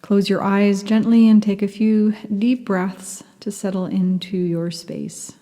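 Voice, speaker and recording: Slow, soft-spoken meditation teacher woman. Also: mid-range voice, fairly even intonation, big-room sound. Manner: soft, slow, soothing, half-whispered at times. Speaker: yoga nidra teacher. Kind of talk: guided meditation.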